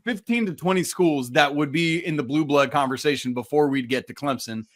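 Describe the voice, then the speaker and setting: A man's voice: a little high, a little fast. A man co-hosting a sports podcast, arguing his points emphatically in casual debate. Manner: emphatic, argumentative. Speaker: podcast co-host.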